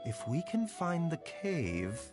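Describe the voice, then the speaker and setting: A refined young male doctor, measured and courteous. His voice is slightly high and lively in intonation, in anime game style over faint music. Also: full character voice. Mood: thoughtful.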